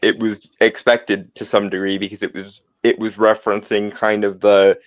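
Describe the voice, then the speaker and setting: A man's voice: fairly low pitch, little pitch movement, normal speed, no echo. A man nearly thirty in a casual comics chat, opinionated and emphatic about his pet peeves. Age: late 20s.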